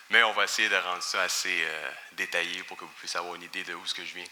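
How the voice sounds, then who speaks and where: A man's voice: sits low, fairly even intonation, fast talker, bright, forceful tone, in a large hall. A man in his thirties with a Quebec French accent, giving a chatty, informal personal testimony in church with light humor. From Quebec, Canada. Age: 30s. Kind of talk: personal testimony before a congregation. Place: church sanctuary.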